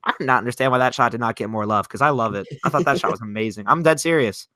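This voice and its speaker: Bright-voiced chatty nineteen-year-old guy. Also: mid-range voice, rapid-fire, no room sound, studio quality. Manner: chatty, rambling with many "like"s. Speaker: podcast co-host. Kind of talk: casual chat. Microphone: home mic, talking online.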